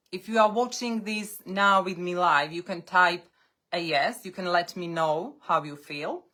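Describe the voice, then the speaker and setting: A woman coaching English learners on a live stream. English is her second language, and she speaks deliberately in short phrases with pauses. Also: lowish voice, fairly bright tone. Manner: non-native English speaker.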